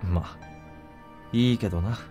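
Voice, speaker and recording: An actor playing a cool, blunt young soldier in a Japanese visual novel, reserved and understated. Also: male voice, lowish voice, brisk, warm tone, faint music behind, lightly acted.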